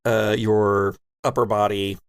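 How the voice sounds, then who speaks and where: A man's voice: on the low side, level tone, slow pace, clean tone, dry recording, studio quality. A man running a tabletop horror role-playing game as game master, explaining the action in a conversational way.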